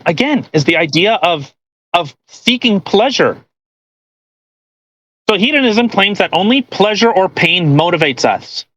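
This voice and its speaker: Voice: mid-range voice, a bit bright, low-quality recording. Crude, mocking podcast co-host. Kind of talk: casual commentary while reading a post aloud.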